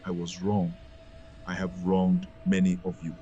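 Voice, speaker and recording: A man voicing a humbled, once-proud woman in a folktale, subdued, halting and contrite. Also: deep voice, monotone, warm tone, highs cut off, soft background music, voice pushed down.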